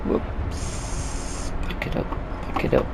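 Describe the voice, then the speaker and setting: A man's voice: very slow, background noise. A man giving casual let's play commentary, muttering to himself and trailing off as he plays. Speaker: gaming video host. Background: vehicle engine sounds from farming game.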